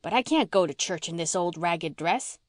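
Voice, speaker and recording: A woman's voice: lowish voice, expressive, moderate pace, no echo, studio quality, lightly acted. A woman putting on a blunt, slangy orphan girl. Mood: brash. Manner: rough country dialect, blunt.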